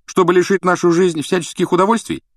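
A man's voice: high-pitched, steady tone, fast, dry recording, studio quality, pitched above the performer's own voice, lightly acted. A man voicing mischievous teenage twin brothers in a storybook, teasing with exaggerated, mocking gush. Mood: cheeky.

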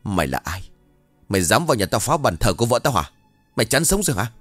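A male narrator acts out a menacing husband guarding his late wife's honour in a horror tale. His Southern Vietnamese voice is lowish and growling, highly animated, in a dry recording. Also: quick pace, dry recording, faint music behind. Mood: outraged. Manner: growled threats and sharp challenges. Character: menacing, protective widower defending his dead wife.

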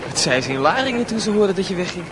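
A man's voice: high-pitched, big pitch swings, fast, background noise. A male actor playing an eager, cheeky but polite teenage schoolboy in a Dutch radio play. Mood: eager, playful.

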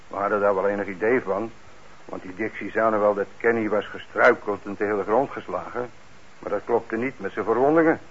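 Man acting a deep-voiced, reassuring official. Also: fairly bright tone, close to an everyday voice. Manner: measured, conversational, explanatory. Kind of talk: radio drama acting. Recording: dull, noisy old radio recording.

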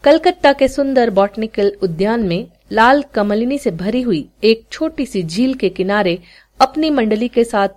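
Female story narrator for podcast fiction. Slightly high voice, animated intonation, scripted literary read. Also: measured pace.

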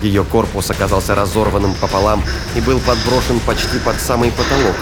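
Guy narrating a sci-fi thriller audio drama, low voice, scripted and dramatic, big loud music under him. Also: rough recording. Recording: loud music and battle effects behind.

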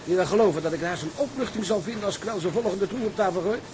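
A man plays a seasoned ship's officer in a radio drama, in Netherlands Dutch. His voice is notably high for a man, and shrewd in manner. There is clear noise on the recording. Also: fast, lightly acted. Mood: knowing, grave.

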